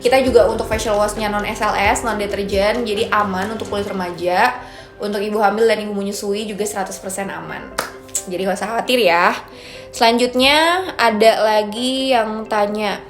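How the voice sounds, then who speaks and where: A woman's voice: medium pitch, normal speed. A young woman in her twenties, a brand founder chatting casually and upbeat as she answers viewer questions. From Indonesia. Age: 20s. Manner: chatty, upbeat, mixes in English phrases. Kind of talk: casual chat. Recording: echoey room with soft music.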